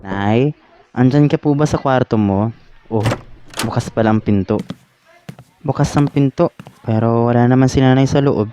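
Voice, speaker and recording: A man's voice: mid-range voice, moderate pace, long pauses, dark tone, a little hiss or hum, close to an everyday voice. A man playing an earnest, pleading young son with a Filipino accent in an amateur radio drama. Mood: puzzled. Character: worried, pleading young working-student son. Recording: background soundtrack under the voice.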